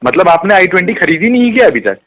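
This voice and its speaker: A man talks very quickly on a phone call, his voice a little on the high side. He is a salesman placating a customer, polite and defensive, often cutting in. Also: big pitch swings, a bit bright. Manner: polite, defensive, repeatedly interjecting. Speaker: car sales executive.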